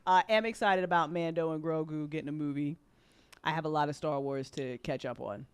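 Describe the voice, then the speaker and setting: A woman's voice: deep voice, fairly quick, clean tone. A woman hosting a casual fan panel, talking bluntly with slang and swearing, repeating phrases for emphasis. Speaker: panel host.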